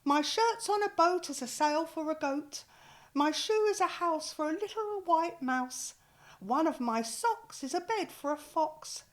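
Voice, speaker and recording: A woman's voice: very high-pitched, animated, measured pace, voice pushed up. A woman voicing a kind, cheerful male giant who chants little rhymes in a storybook reading. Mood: sing-song. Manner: sing-song rhyming chant.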